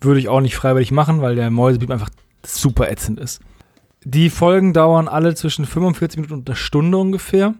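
Male podcast co-host, slightly higher-pitched, dry close recording, casual wry review chat. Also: fairly even intonation, fast, darkish tone, no room sound.

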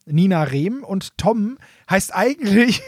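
High-voiced man, dry close sound. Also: animated, slow pace, darkish tone, breathy edge, no room sound. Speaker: podcast co-host. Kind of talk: casual explanatory chat.

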